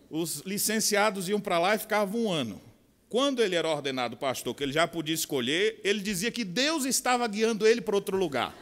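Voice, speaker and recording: A middle-aged Brazilian pastor with a Bahian accent preaches at a conference. His voice sits a touch high for a man, and he moves between soft asides and loud, drawn-out emphasis. The sound rings with the long reverb of a large hall. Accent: Bahian Portuguese. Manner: expressive, swinging from quiet asides to loud slow emphasis. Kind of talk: sermon.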